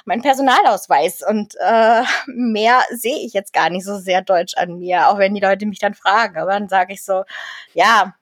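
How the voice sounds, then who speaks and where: A woman's voice: medium pitch, normal speed, no room sound, studio quality. A young German woman talking casually as an interview guest, rambling with many fillers and restarts and laughing often.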